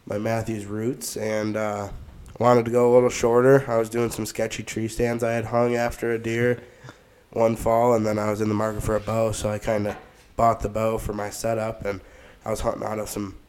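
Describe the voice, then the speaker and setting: A man with a stuffed-up nose, co-hosting a podcast and talking through his gear in a relaxed, rambling way. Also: fairly low pitch. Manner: relaxed, rambling, many fillers. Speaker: podcast co-host. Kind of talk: casual gear talk.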